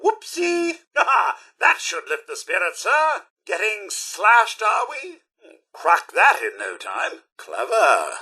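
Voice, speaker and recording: An actor playing a prim, cheery, sardonic British robot butler in a video game, with a fussy, over-polite manner and dry sarcasm. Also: male voice, high-pitched, huge pitch swings, takes it slowly, pushed and bright, breathy edge, heavily acted. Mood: jaunty. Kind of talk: video game companion voice lines.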